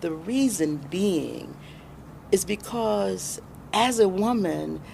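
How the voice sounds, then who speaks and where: A middle-aged American woman answering interview questions as a guest, reflective and deliberate, pausing for emphasis. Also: medium pitch, animated, vocal fry, warm tone, slight background noise. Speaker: interview guest, independent filmmaker.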